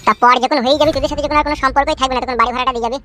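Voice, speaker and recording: Cartoon comedy: spiteful young male landlord, acted by a man, aggressive, bright-toned, rushing his words. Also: very high voice, fairly even intonation, slight background noise. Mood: demanding. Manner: aggressive, demanding, coarse.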